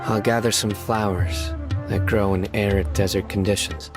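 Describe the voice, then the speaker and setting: An actor voicing a stern, reserved young lawman in a video game, speaking in a solemn, measured way. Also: on the low side, fairly even intonation, natural voice. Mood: earnest, reflective. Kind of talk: scripted video game character dialogue. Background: music.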